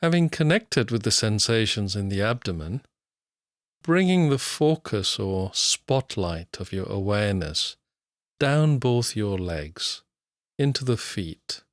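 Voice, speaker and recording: Low-voiced man guiding a slow meditation. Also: no echo, clean studio sound. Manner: measured, unhurried, with long pauses between short phrases. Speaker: meditation guide. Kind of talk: guided body scan meditation, slow instructional narration.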